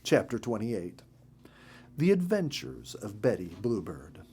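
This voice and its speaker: A man reading a children's story as narrator in an expressive, gentle storytelling manner. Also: on the low side, a little slow, breathy edge.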